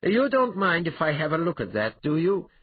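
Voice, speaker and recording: Guy doing a cocky fantasy rogue, urbane and teasing, voice lifted high, really swoopy, fake polite. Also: big pitch swings, moderate pace, phone-quality sound, a little hiss or hum. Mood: urbane mockery. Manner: mock-polite, urbane, taunting. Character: wily male adventurer thief, mocking, mildly urbane.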